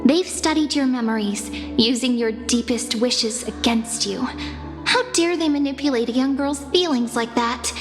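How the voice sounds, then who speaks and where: An actress voicing a gentle, mysterious woman stranded in an empty void, speaking softly and persuasively in a game cutscene, with music behind. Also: on the high side, moderate pace, long reverb tail, close to an everyday voice. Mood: earnest warning. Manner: soft, calm, persuasive.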